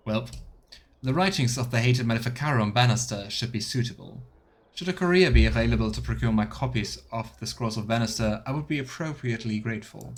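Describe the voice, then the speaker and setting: A man narrates his let's play of a fantasy role-playing game in a mid-range voice. He moves between reading text aloud and playful, questioning asides to himself and viewers. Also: medium pitch.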